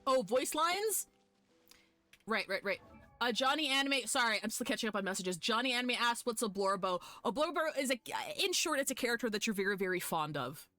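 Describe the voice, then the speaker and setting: A woman streaming a game live, chatting casually with viewers in an animated, sweary, fan-like way. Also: on the high side, a little fast, dry recording, faint music behind. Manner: foul-mouthed. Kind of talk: casual chat with viewers while gaming.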